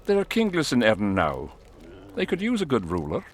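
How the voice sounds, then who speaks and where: Casting: loyal kingdom soldier, fantasy game, plain-spoken and careful, animated pitch. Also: male voice, a little hiss or hum. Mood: wary, affable. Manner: measured, folksy, guarded. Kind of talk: video game dialogue acting.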